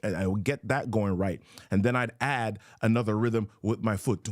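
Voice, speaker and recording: A man's voice: lowish voice, normal speed, no echo, studio quality, faint music behind. A man hosting a motivational live show, talking emphatically and repeating his key questions. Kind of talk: motivational live-stream talk.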